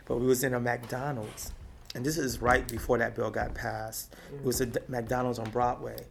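A middle-aged woman from Texas with a deep, raspy voice, talking and laughing her way through memories in an oral history interview. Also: normal speed, a little hiss or hum. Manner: lively, talkative, laughs often. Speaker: activist interviewee.

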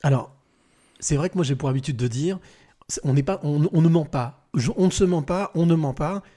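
Soft-voiced dark-toned man hosting a podcast. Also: a little high, normal speed. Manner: warm, searching for words with repeated restarts. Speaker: podcast host. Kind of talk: relaxed podcast interview. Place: large bright living room in a home.

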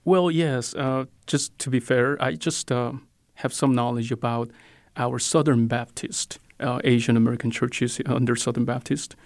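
A man's voice: medium pitch, fairly even intonation, normal speed, creaky, no room sound. A man in his forties from South Korea speaking English with a Korean accent, giving earnest, polite interview answers with hesitant fillers. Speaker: ministry director, guest.

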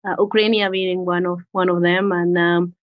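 A Spanish-accented woman in her forties speaks in a fairly low voice, answering an interviewer's questions about her work. She chooses her words with care and often repeats a word while finding the phrase she wants. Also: level tone, moderate pace, dull audio. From Spain. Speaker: corporate executive guest.

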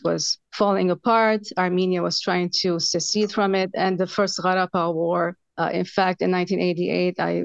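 A woman in her forties telling her life story as an interview guest, earnest and a little halting, pausing on fillers. Her voice sits low with a gravelly edge. The sound is dry and slightly muffled. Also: no room sound. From Lebanon. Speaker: author guest.

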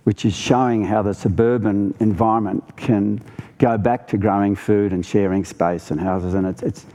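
A man's voice: lowish voice, steady tone, normal speed, dark tone. An Australian man speaking earnestly and emphatically as a panellist in a live discussion. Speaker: farmer and ecologist, festival panellist.

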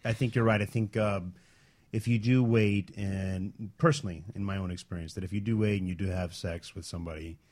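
Low-voiced man in casual chat. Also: steady tone, fairly quick, a little mellow. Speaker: co-host of a radio talk show.

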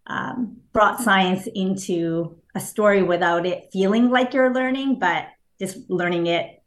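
A woman whose first language is Japanese, speaking as an author guest in an interview, thoughtful and hesitant with fillers and soft laughs. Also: medium pitch, measured pace. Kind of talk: casual interview answers.

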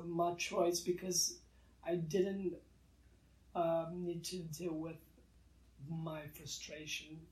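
Slow, halting man in a roomy space. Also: sits high, warm tone, recorded from a distance. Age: 30s. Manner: halting, many restarts, earnest. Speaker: guest artist. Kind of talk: reflective interview talk.

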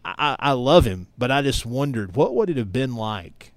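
A man's voice: mid-range voice, sing-song, moderate pace, dry recording. A middle-aged American man from East Tennessee, a sports broadcaster talking as an interview guest in an earnest, emphatic storytelling way. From East Tennessee, United States. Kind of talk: conversational interview, reminiscing.

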